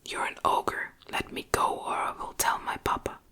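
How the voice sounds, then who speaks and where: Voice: normal speed, sharp tone, close to an everyday voice. A narrator voicing a terrified, defiant small boy in a whispered gothic audiobook, pleading and hurling insults. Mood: frightened, defiant.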